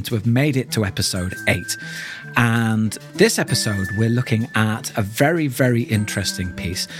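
A man hosting a podcast, talking through an introduction in a thoughtful, explanatory way. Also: medium pitch. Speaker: podcast host.

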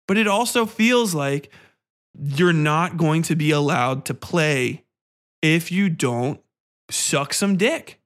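Male podcast host, slightly high voice, casual ranting delivery, profane and exasperated. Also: animated, slow pace. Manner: profane, exasperated, blunt complaining.

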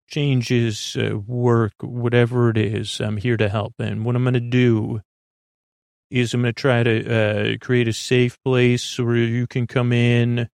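A man's voice: medium pitch, darkish tone, no echo, studio quality. A middle-aged man hosting a sleep podcast, meandering through tangents with many uhs and restarts. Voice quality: creaky. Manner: meandering, self-interrupting, lots of uhs and restarts. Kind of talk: rambling bedtime monologue.

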